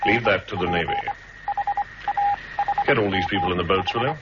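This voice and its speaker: A man with a British accent playing a brisk, authoritative senior army brigadier in a 1960s radio drama, terse and measured. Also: low voice, natural voice. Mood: brisk, satisfied. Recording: muffled, hissy 1960s radio recording.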